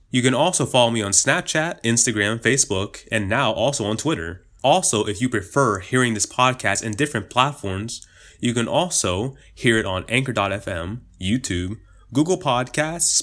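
A man hosting a casual podcast outro, upbeat and chatty, laughing often, a little sick so his voice sits slightly lower. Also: fairly bright tone. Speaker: podcast host.

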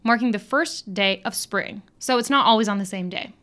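An American woman co-hosting a podcast, reading prepared history facts in a clear, upbeat way. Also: medium pitch, moderate pace, noisy background. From United States. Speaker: podcast co-host. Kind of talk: scripted podcast narration.

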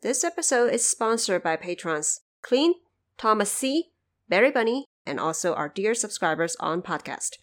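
A woman's voice: medium pitch, very animated, moderate pace. A woman from China hosting an explanatory podcast, speaking in an informal, wry way. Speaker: podcast host. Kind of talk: explanatory podcast commentary on folklore.

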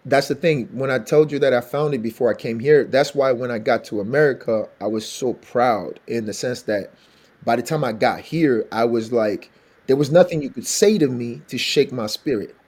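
A man from Benin, West Africa, who sings for a living and has a distinctly raspy voice. He chats in an animated, storytelling way, laughing often, at an everyday middle pitch. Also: moderate pace. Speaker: singer and songwriter guest. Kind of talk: casual interview chat.

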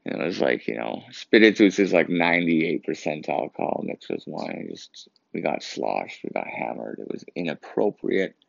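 A man's voice: rumbling low, sing-song, moderate pace, gravelly, few pauses, no room sound, lo-fi sound. A young Canadian man who wears braces, hosting his own podcast and rambling through a casual story. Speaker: podcast host. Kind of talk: casual solo podcast monologue.

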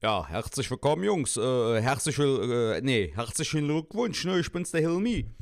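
A slangy, streetwise young guy, as a man puts him on, with a smooth, even voice. It is recorded close in a dry space. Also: mid-range voice, moderate pace, dry recording. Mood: upbeat. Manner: slangy, calls everyone "Junge" and "Mann". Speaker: greeting the podcast.

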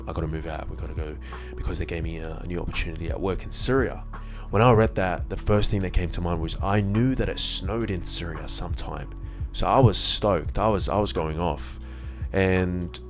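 Young man with a very low voice. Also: fairly quick, soft background music. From born in Iraq, moved to Australia as a child. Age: young adult. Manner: informal, candid, with slang and swearing. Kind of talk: casual monologue mixing reflection and personal storytelling.

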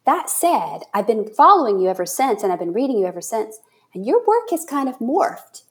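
A woman's voice: fairly high pitch, sing-song, moderate pace. Effusive woman hosting a casual chat. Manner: effusive, searching for words, self-correcting. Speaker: podcast host.

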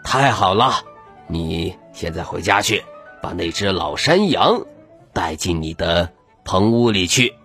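A man doing a calm, commanding old elder. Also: faint music behind, heavily acted. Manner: low, slow, firm commands.

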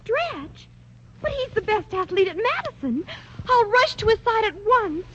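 Brief: high, breathless, swooning teen girl, noisy vintage radio. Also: big pitch swings, measured pace, dull audio, heavily acted. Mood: gushing. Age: teens. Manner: gushing, melodramatic, breathless. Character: gushing fickle teenage girl, dramatic. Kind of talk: 1940s radio sitcom acting.

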